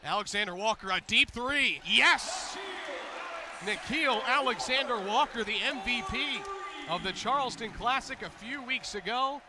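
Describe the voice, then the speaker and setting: An American man doing energetic live basketball play-by-play commentary, building to excited calls on big plays. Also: very high voice, a little slow, background noise.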